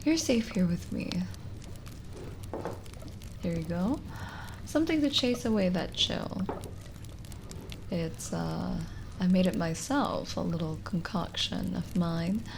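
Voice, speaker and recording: Kindly cottage witch, played by a woman for ASMR roleplay: unhurried, lowish creaky voice, gentle coaxing, hushed moments. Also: background noise. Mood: reassuring. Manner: gentle, coaxing, hesitant pauses, drifting into whispers. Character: lonely, kindly forest witch, soothing and hospitable. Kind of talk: ASMR roleplay audio drama.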